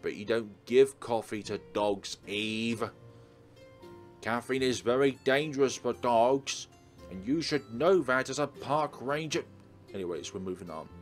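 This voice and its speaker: A man's voice: medium pitch, unhurried. A man hosting a let's play, reading a visual novel aloud expressively and adding casual commentary. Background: soft game music.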